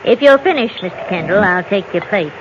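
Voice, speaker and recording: A woman's voice: mid-range voice, expressive, brisk, background noise, lightly acted. Actress playing a stern frontier mother, dull old recording. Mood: brisk. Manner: curt, bossy. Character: stern frontier farm mother who orders her household about. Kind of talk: old-time radio western acting.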